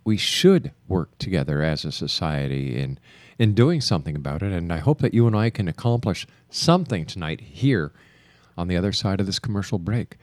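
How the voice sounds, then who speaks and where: A man hosting a radio interview, speaking in deliberate, emphatic phrases. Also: sits low, very animated, dry recording. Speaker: radio host. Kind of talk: radio interview with broadcast announcements.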